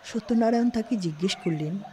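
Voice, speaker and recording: A woman's voice: medium pitch, fairly quick, warm tone. A woman narrating a scripted literary story as an audiobook-style narrator. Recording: studio, faint background noise.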